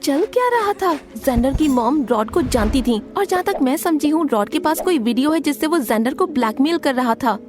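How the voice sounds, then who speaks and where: Woman as a high-pitched teenage girl storyteller. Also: lively intonation, normal speed, hardly any pauses. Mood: dramatic, anxious. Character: earnest high school girl narrating her story. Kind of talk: scripted first-person narration of animated story. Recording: soft music bed behind the voice.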